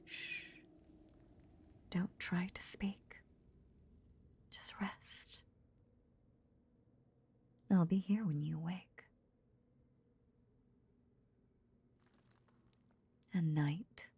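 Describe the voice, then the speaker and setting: A woman playing a possessive lamia in an intimate roleplay audio drama, tenderly nursing in a breathy, half-whispered, seductive murmur with veiled menace. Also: on the low side, darkish tone, full character voice. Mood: wistful, tender. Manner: slow, soothing, seductive murmur.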